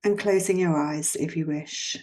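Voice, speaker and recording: A woman guiding a yoga nidra relaxation in a soothing, gently instructing manner, leaving long pauses between phrases. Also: deep voice, poor audio. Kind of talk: guided relaxation meditation.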